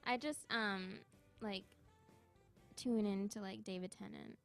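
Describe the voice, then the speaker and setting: A woman's voice: medium pitch, steady tone, unhurried, soft background music. A young woman chatting casually with fellow student radio hosts in a college studio, laughing often. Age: young adult. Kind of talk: casual group chat.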